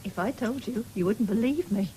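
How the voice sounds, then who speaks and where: A woman's voice: on the high side, normal speed, mellow, close to an everyday voice. An actress playing a practical, cautious middle-aged farming mother in a British radio drama, with a homely, no-nonsense manner. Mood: teasing, guarded. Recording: noisy old radio broadcast recording.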